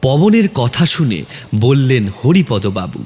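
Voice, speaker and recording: Male narrator, mid-pitched and highly expressive, suspenseful delivery for scripted horror storytelling. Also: measured pace. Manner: dramatic, suspenseful.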